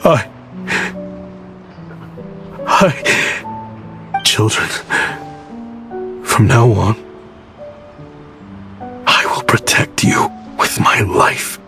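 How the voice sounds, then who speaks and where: Tired guilty uncle guy in a video game, lowish voice, real slow n humble. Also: animated, full character voice. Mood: remorseful. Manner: humble, hesitant. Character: remorseful, weary adult man from the desert, protective uncle. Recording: music behind the voice.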